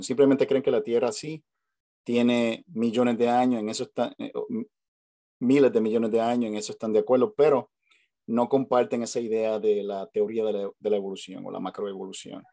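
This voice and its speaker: A man's voice: on the low side, little pitch movement, a little fast, gravelly, warm tone, no room sound, clean studio sound. A man with a Puerto Rican accent, hosting a casual video call chat, talking hesitantly with many fillers and restarts. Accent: Puerto Rican Spanish. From Puerto Rico. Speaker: host. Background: family noise at home.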